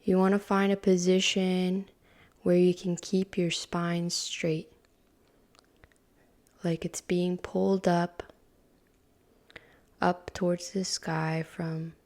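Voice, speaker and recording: A woman guides a meditation at an extremely slow, calm pace, leaving long pauses between short phrases. Her voice sits a little low and is dark and mellow. It is soft and breathy, often trailing into a whisper.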